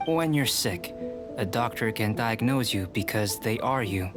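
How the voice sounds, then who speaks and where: A man voicing a calm, measured young male alchemist in a game, who speaks in a precise, formal way. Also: lowish voice, lightly acted. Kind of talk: game voice acting. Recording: game cutscene with music behind.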